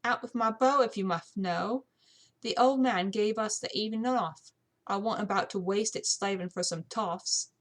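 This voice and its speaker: A woman's voice: medium pitch, normal speed, natural voice. A woman puts on a saucy young English maid from a period storybook. She talks back with scornful cheek in a put-on British accent, disrespectful to her betters. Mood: insolent. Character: pert, insolent young English housemaid with a sharp tongue.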